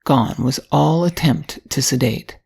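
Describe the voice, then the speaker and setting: An older woman narrates a written story at a measured, storytelling gait. Her voice is husky and rough-edged, with a steady creak running through it. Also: very deep voice.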